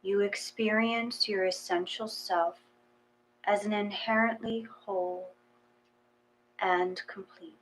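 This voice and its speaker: A woman reading a gentle guided meditation in a calm, measured manner, with long pauses between short phrases. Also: medium pitch, slight background noise, low-quality recording.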